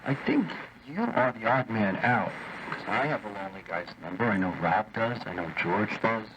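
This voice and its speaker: A man in a casual, teasing chat over shortwave ham radio, speaking a little haltingly like an operator among friends. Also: lowish voice, expressive. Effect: shortwave radio transmission. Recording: muffled, noisy shortwave radio reception. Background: radio static.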